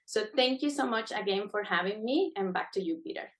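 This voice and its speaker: A woman's voice: mid-range voice, lively intonation, a little fast. A middle-aged woman from Venezuela, a native Spanish speaker, giving earnest, encouraging remarks as a guest speaker at a webinar. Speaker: guest speaker, adjudicating panel member. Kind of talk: prepared webinar remarks, semi-spontaneous.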